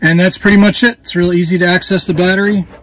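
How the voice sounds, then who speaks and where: A man's voice: sits high, quick pace, very noisy. Casual man narrating a hands-on repair. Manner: relaxed, plain-spoken, with fillers while working. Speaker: dealer host giving a how-to. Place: outdoors beside a jet ski. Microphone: phone camera held close.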